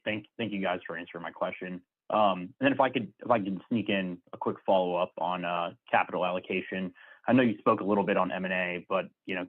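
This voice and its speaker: Deep-voiced male analyst, phone line. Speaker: analyst asking questions. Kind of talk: earnings call question. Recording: narrow, phone-like conference call line.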